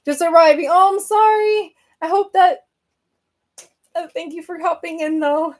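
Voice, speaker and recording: A woman's voice: very high-pitched, a little slow, darkish tone. A woman from Venezuela hosting a book podcast, chatting casually on a live stream and answering viewer comments, rambling with many fillers and self-corrections.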